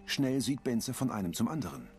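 A man narrating audio description for a TV crime comedy in a calm, even reading voice. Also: mid-range voice, a little fast, a little hiss or hum.

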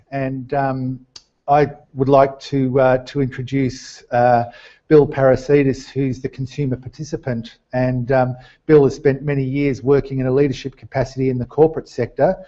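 A middle-aged man speaks haltingly as he presents at a seminar in a small room. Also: medium pitch, flat delivery, dark tone. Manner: hesitant, halting delivery. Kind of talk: semi-formal live presentation. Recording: dull lo-fi seminar webcast sound.

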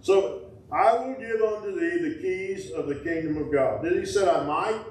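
A middle-aged man, preaching a sermon in a folksy call-and-response style, with sudden loud bursts. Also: sits high, moderate pace, a little hiss or hum. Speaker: pastor. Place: small wooden-walled church sanctuary. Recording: echoey church from a distance.

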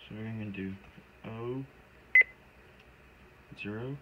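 A man talking himself haltingly through a gadget in a casual, unscripted walkthrough, recorded on a handheld phone. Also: on the low side, muffled recording. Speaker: amateur product demonstrator. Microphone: handheld phone camera. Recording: very noisy handheld recording with button beeps.

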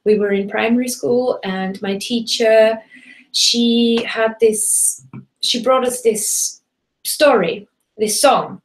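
A woman's voice: on the high side, fairly even intonation, slow, rough recording. A woman from Germany, a native German speaker, teaching German in a chatty, enthusiastic live online class and greeting viewers from the chat. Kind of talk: live bilingual webinar.

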